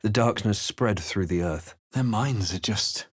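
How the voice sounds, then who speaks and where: A man playing a brooding, wry adventurer hero in a fantasy game, speaking in a measured, grave, literary way. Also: fairly low pitch, slightly rough, no room sound, clean studio sound. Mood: grave, narrating. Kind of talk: scripted game voice lines and narration.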